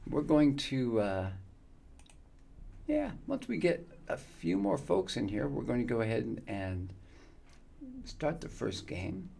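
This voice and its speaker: A man in his fifties, chatting casually and warmly with viewers on his live gaming stream, rambling with many fillers. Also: mid-range voice, very animated, slow pace, gravelly, long pauses. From United States. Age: 50s.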